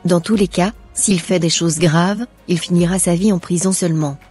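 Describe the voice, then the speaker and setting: A woman playing a harsh, fretful middle-aged mother with a husky voice in an amateur cartoon, over music. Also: lowish voice, fairly even intonation, normal speed, darkish tone. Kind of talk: amateur animated drama acting. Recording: background music.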